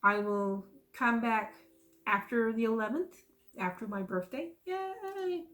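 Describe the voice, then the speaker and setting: A woman's voice: a little high, slow pace, fairly bright tone. A woman, chatting casually and rambling into folksy, self-amused asides in her stitching vlog. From South Texas. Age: 50s. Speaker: stitching vlogger.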